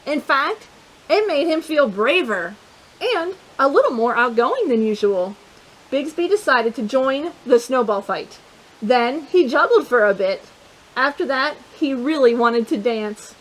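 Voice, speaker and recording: Woman storytime host, high voice, playful picture-book reading for kids. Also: measured pace, poor audio. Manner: animated and playful, with chatty asides to young listeners.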